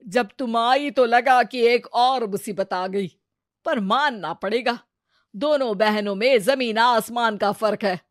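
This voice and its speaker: A woman's voice: a little high, slow, no echo, clean studio sound. A woman voicing a middle-aged mother-in-law in a family story, grumbling and sarcastic. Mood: approving. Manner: exasperated, sarcastic. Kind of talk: Hindi family moral story dramatization.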